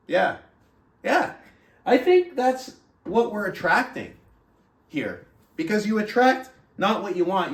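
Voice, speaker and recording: Higher-voiced man in a roomy, distant recording. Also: gravelly. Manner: earnest, conversational, rhetorical check-ins like "right?". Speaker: book club host, motivational reader. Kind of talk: motivational talk and reading a book aloud. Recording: roomy echo, distant mic.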